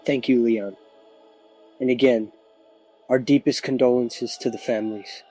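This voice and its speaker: A man plays a small-town sheriff with a soft, mellow voice. He speaks in a measured, official way, reassuring the public in an audio drama news interview, with music playing behind him. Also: medium pitch, steady tone, lightly acted, rough recording. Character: composed small-town male sheriff giving an official statement.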